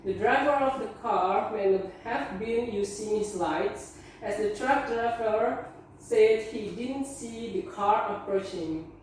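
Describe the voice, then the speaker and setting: A woman's voice: medium pitch, slow pace, a little mellow. A woman student, answering and reading aloud in class. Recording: echoey room, distant mic, faint noise.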